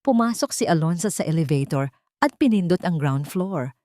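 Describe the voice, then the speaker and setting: A woman's voice: low voice, animated, moderate pace, dark tone. A woman storyteller reading scripted, dramatic narration for a romance audio drama.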